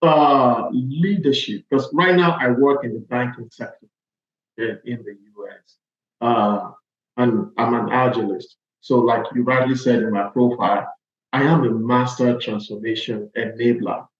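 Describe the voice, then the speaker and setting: Nigerian guy being interviewed, emphatic chatty delivery, middling pitch, echoey and kinda dull audio. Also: unhurried. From Lagos, Nigeria. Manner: emphatic, punctuates points with "okay" and "all right". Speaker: podcast guest, agile transformation consultant. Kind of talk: conversational interview answers.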